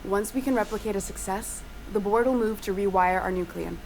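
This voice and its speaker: An actress plays a weary but idealistic woman scientist in a video game. Her mid-pitched voice is guarded and articulate, with measured, formal phrasing. Faint ambient noise sits behind her. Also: darkish tone, natural voice, rough recording. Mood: guarded, worried. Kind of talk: video game dialogue acting.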